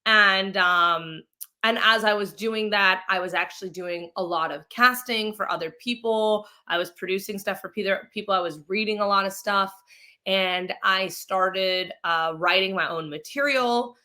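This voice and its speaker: Female guest from Mexico, bright forward voice, medium pitch, informal conversational storyteller. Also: unhurried. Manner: chatty, self-quoting, informal. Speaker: interview guest, actress and film producer.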